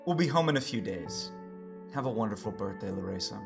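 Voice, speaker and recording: A man's voice: on the low side, lively intonation, a little fast, creaky, soft background music. A man playing a fond, wry father and husband in an audio drama. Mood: wry, loving.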